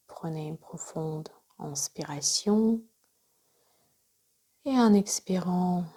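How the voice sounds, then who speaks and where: Female yoga nidra guide: soft, breathy near-whisper, dark warm tone, unhurried measured delivery of calm instructions. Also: fairly low pitch. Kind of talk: guided relaxation meditation.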